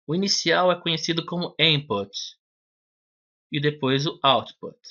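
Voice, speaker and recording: Man, teacher, didactic explanation, bright tone, dull low-fi recording. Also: a little slow. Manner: methodical, didactic, addresses the viewer directly. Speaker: English teacher. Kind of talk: explanatory lesson to camera. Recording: dull lo-fi video audio.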